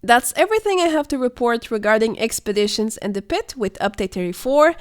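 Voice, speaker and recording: A young woman narrates gaming news over her footage. Her voice sits a little high but sounds hoarse and worn, as if she is unwell. She speaks in a measured way, explaining and speculating as she goes. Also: very animated, soft background music. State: hoarse, voice weakened by illness. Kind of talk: scripted voice-over commentary.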